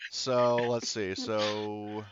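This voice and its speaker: A man casually narrating and refereeing a tabletop roleplaying game as game master, halting and loosely profane as he improvises. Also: fairly low pitch, little pitch movement, dull audio, crowd noise.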